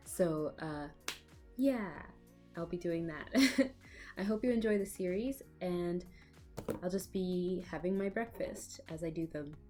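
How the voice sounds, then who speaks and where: Chatty woman with a cold, lowish voice. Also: slow, pauses often, a little mellow, faint music behind. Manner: rambling, with fillers and small laughs. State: has a cold. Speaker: art vlogger. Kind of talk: casual voiceover for a speed paint. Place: home. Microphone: laptop microphone.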